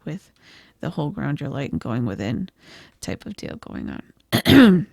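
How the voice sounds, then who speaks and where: A woman losing her voice gives a gentle, reflective tarot reading, with frequent "I feel like" and "kind of". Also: deep voice, very animated, measured pace, vocal fry, husky, no room sound, clean studio sound. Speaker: tarot reader. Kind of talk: pick-a-card tarot reading.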